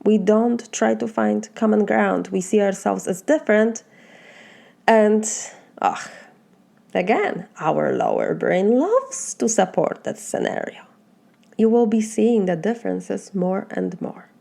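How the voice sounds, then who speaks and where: Slow, gravelly Polish-accented woman coach. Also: mid-range voice, animated, dark tone. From Poland. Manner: asks many reflective questions, slow and earnest. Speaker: life coach. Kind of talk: motivational talk to camera.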